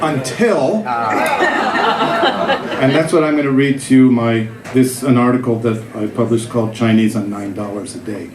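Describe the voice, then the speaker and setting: A middle-aged man reads his own comic column at a literary festival with wry, self-deprecating timing and a voice of ordinary pitch. The sound bounces around a roomy venue. Faint ambient hum sits underneath. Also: moderate pace, a crowd in the background. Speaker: writer and former cartoonist. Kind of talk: reading his humorous column aloud at a live literary event. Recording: echoey room.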